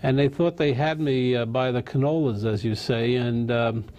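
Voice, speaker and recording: A man's voice: mid-range voice, fairly even intonation, moderate pace, darkish tone, lo-fi sound. A man hosting a talk show, in a plain mid-range voice. He chats loosely with his guest, teasing him and rambling along with fillers. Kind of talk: casual interview chat.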